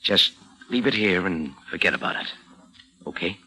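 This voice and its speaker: A man playing a slick, scheming crook in a 1940s radio drama, smooth-talking and cagey. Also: deep voice, normal speed, sharp tone, rough recording. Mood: terse, conspiratorial. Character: slick, scheming male crook feigning politeness.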